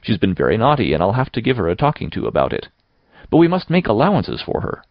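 A man voicing a stern but fair guardian woman in an audiobook, firm and deliberate. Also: fairly low pitch, wildly swooping pitch, dry recording, muffled recording. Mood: firm, reasoning. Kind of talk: audiobook character voice.

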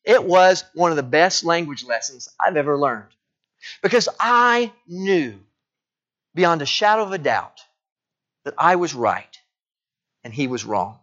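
A male pastor preaching in an easy, storytelling way, his voice sitting a little high for a man. He keeps asking his listeners rhetorical questions. The recording sounds dull and lo-fi. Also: fairly bright tone. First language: English. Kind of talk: sermon.

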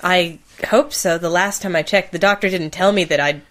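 A woman's voice: lowish voice, normal speed, a bit bright. A woman co-hosting a podcast in casual banter, with a joking, sarcastic manner. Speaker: podcast co-host.

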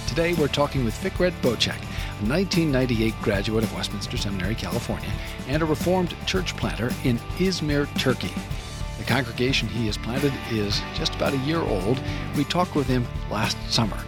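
A man hosting a radio interview, introducing his guest and asking measured, friendly questions. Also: medium pitch, lively intonation, music in the background. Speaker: radio host.

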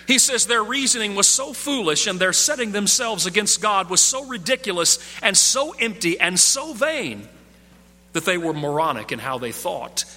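A man preaching an expository sermon, emphatic, dropping low on key points. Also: bright, forceful tone, big-room sound.

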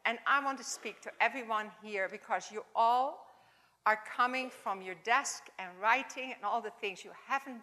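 Older Dutch woman in a reverberant hall. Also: a little high. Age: 70s. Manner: warm, halting, non-native phrasing. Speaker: chapel speaker. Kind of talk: informal chapel talk.

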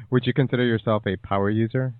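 A man's voice: mid-range voice, dry recording. A man hosting a podcast interview, chatting casually in a giggly, teasing way, with many ums. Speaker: podcast host. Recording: dry, tinny phone-like line.

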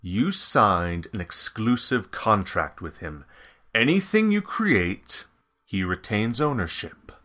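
A man voicing a menacing male goon enforcer who talks slowly and coldly. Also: fairly low pitch, big pitch swings, bright, forceful tone, exaggerated voice. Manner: slow, measured, coldly menacing.

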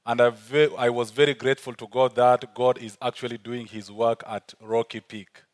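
A man's voice: medium pitch, flat delivery, normal speed. A man with a South Sudanese accent preaching as a guest speaker in church. He talks earnestly and repeats key phrases for emphasis. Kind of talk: sermon.

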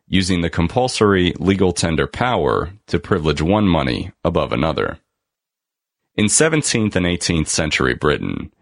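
A man reading a scripted article aloud as a narrator, in a measured, deliberate and formal manner with emphatic phrasing. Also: low voice, no room sound, clean studio sound.

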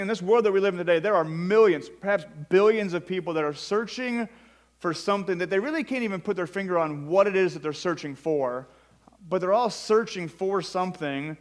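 A man's voice: sits high, fairly quick. A young man preaching an evening sermon as a fill-in pastor, in a conversational way with frequent fillers and joking asides. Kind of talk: sermon with storytelling.

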